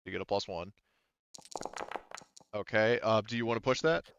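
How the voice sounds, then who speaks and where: Man game master, smooth lowish voice. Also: moderate pace, fairly bright tone, muffled recording. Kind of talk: running a tabletop game, casual rulings and narration.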